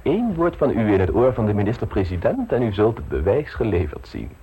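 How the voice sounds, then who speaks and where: An actor playing a suave, ambitious gentleman politician in an old radio play, polished, self-assured and teasingly frank. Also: on the low side, big pitch swings, fairly quick, dull audio, a little hiss or hum. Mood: assured, scheming.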